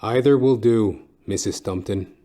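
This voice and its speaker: A man plays a hardboiled, down-at-heel private detective in a comic noir audio play. His voice sits fairly low, and he delivers his wry lines deadpan, with measured dramatic pauses. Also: lightly acted. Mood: polite, guarded.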